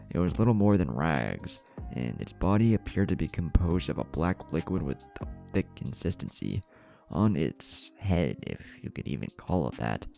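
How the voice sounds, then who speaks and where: Very deep, gravelly man narrating a story. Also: warm tone. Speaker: fan fiction narrator. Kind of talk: dramatic scripted story narration. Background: music.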